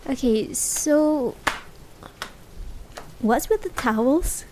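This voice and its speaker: A woman's voice: high voice, animated, extremely slow, background noise, heavily acted. A woman acting a caring, worried, hesitant partner in a realistic slice-of-life ASMR roleplay. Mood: mildly wary. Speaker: amateur voice actor. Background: rain sound effects.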